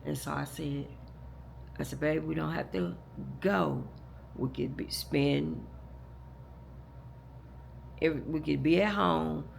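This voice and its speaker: A hoarse, deeply gravelly woman tells her viewers a long personal story. She rambles, restarts her sentences and speaks to them directly as "y'all." A faint noise sits under the recording. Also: steady tone, slow, long pauses. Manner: rambling, repeats and restarts, talks straight to "y'all". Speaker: vlogger.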